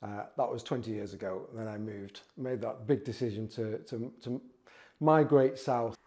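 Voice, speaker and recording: Man, 40s, very dark mellow tone, wry slightly halting delivery. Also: fairly low pitch, steady tone. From Yorkshire, England. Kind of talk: documentary interview.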